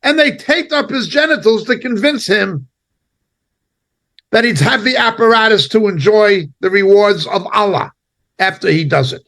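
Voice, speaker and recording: A man giving impassioned spoken commentary as a preacher, indignant and emphatic, speaking in short halting phrases. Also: high-pitched, a bit bright.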